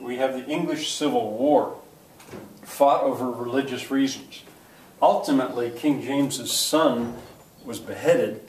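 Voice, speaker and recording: An older man giving a history lecture to a class, halting and full of fillers and restarts. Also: mid-range voice, a little mellow. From United States. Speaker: teacher. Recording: echoey room, voice from far off.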